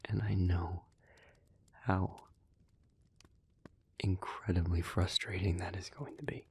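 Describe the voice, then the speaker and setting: A man playing a brooding, devoted demon in an intimate audio roleplay, speaking in solemn, halting, measured phrases. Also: sits low, steady tone, husky, exaggerated voice. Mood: somber, earnest.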